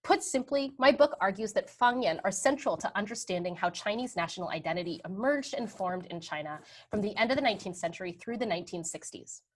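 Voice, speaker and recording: A woman in her thirties giving an academic history lecture over slides, relaxed and conversational, often checking in with "right?". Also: mid-range voice, fairly quick, few pauses. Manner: conversational, frequent "um" and "right?" tags.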